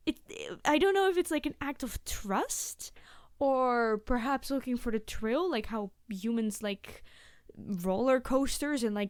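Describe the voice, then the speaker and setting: A woman's voice: fairly high pitch, smooth, no room sound, clean studio sound, natural voice. A woman playing a cute, friendly young biologist in an ASMR roleplay, chatting eagerly and a little hesitantly to the listener about animals. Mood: chatty, curious. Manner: gushing, hesitant, chatty explaining.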